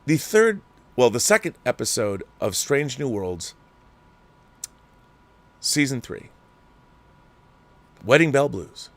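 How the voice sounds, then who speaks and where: A man's voice: mid-range voice, big pitch swings, slow pace, pauses often, no room sound. A middle-aged man hosting a commentary show, ranting sarcastically and with exasperation. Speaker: show host.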